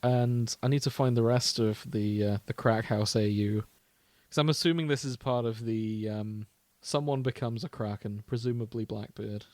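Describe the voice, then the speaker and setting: A man's voice: mid-range voice, moderate pace, a little mellow, dry recording. A man co-hosting a podcast in casual chat, with a jokey, giggly manner. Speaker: podcast co-host.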